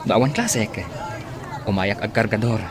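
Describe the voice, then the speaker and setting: An actor as an earnest young market porter. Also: male voice, on the low side, normal speed, crowd noise, noisy background, lightly acted. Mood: earnest, smitten. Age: young adult. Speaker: radio drama actor. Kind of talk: Ilocano radio drama acting.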